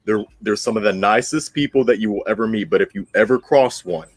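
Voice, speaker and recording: A man's voice: on the low side, fairly quick, no room sound. A man hosting an astrology commentary, part reading and part ad-libbing, speaking bluntly and emphatically and repeating phrases for emphasis. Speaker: host of an astrology commentary channel.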